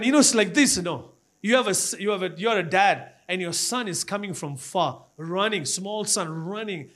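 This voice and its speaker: A man's voice: high voice, a bit bright. A man from Malaysia with a Malaysian accent preaching an impassioned church sermon, repeating phrases as he urges the congregation on. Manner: repetitive, exhorting, often addressing the congregation.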